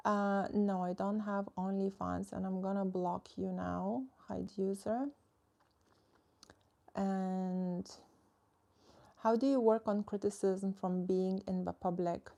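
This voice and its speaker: A woman's voice: mid-range voice, gravelly, mellow. A young woman, a social media coach chatting casually on a live stream, reading and answering viewer comments in a frank, filler-heavy way.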